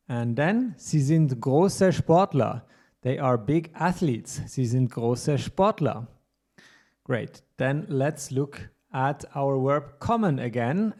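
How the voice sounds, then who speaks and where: A man giving an online German lesson, explaining grammar plainly and reading slow model sentences, each said twice. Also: mid-range voice, warm tone. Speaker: German teacher.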